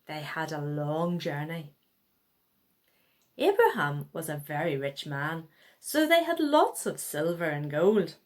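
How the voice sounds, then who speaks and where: A woman reads a story aloud for children at a slow, unhurried pace. Her delivery is expressive and her pitch moves widely up and down, bringing out the dialogue as she narrates. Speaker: children's Bible story reader.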